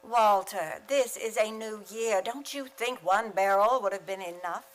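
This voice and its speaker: Radio comedy role: wry female high school teacher, played by an actress, mid-pitched, dry sarcasm. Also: a little slow, close to an everyday voice, poor audio. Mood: amused, wry. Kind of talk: old-time radio comedy acting.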